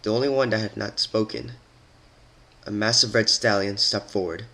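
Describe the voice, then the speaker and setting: A man's voice: fairly low pitch, fairly even intonation, moderate pace, gravelly, sharp tone. A man giving a dramatic, scripted reading of a story as its narrator.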